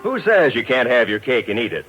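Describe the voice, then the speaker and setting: A man's voice: medium pitch, sing-song, a little fast, a bit bright, husky, faint music behind. A man delivering a scripted 1950s radio network promo with upbeat, polished salesmanship. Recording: dull, muffled vintage radio recording.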